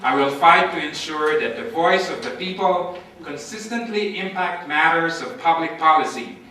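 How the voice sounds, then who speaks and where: A man's voice: fairly high pitch, animated, normal speed, bright, forceful tone, a little hiss or hum. A man from the Philippines giving a formal, emphatic campaign speech as a candidate for office. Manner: formal, emphatic oratory with lists. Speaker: political candidate. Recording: echoey amateur recording from far off.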